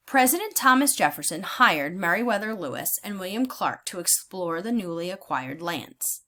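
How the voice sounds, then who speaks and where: A woman teacher reading aloud in a deliberate, instructional way, pausing to explain her thinking as she goes. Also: on the low side, expressive, sharp tone. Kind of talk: read-aloud lesson with think-aloud comments.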